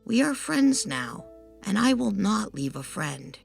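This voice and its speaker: A woman voicing a small, gentle fairy in a storybook tale, pleading and earnest, loyal to her friend. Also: mid-range voice, sing-song, measured pace, creaky, soft background music, voice pushed up, exaggerated voice. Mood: fond, sincere. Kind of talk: storybook character voice.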